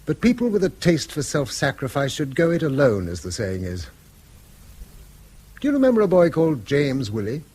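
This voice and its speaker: A middle-aged British schoolmaster, weary and bitter. His husky voice rises and falls widely as he speaks in eloquent, self-reproaching phrases. It is radio drama acting. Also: a little high, huge pitch swings, moderate pace, darkish tone, heavily acted. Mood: bitter, musing. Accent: British English. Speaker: actor.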